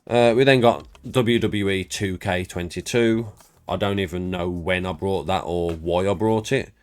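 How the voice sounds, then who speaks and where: A man's voice: low-pitched, measured pace. A man with a congested, stuffed-up voice, rambling casually and self-deprecatingly as he walks through his game collection for his channel. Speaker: YouTube creator.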